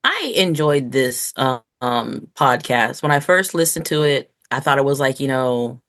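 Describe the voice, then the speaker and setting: A woman's voice: extremely low pitch, lively intonation, measured pace, a bit bright. A woman co-hosting a podcast, giving her opinions in a casual, informal and conversational way. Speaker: podcast host. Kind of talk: casual review chat.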